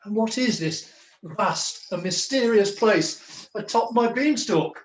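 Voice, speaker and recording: Man playing a high-voiced, melodramatic jester. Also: animated, slow. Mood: melodramatic, weary. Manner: theatrical, flowery, mock-archaic, declamatory. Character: melodramatic weary jester, a strolling player on a quest. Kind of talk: comic pantomime dialogue. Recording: echoey room over video call.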